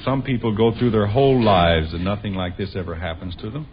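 A man's voice: fairly low pitch, brisk, natural voice. An actor playing an exasperated middle-aged American father who grumbles wryly in a 1950s radio sitcom. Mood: wry grumbling. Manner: wry, sarcastic grumbling. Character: exasperated suburban father, put-upon and grumbling. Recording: muffled, noisy old radio broadcast.

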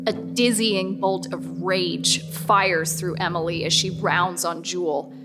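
A woman reading scripted horror narration in a measured, suspenseful way. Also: on the low side, steady tone, over loud music.

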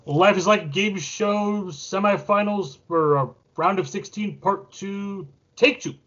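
A man's voice: high voice, a little slow, fairly bright tone, muffled recording. A man co-hosting a casual podcast with dry, wisecracking remarks. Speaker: podcast co-host. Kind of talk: casual banter.

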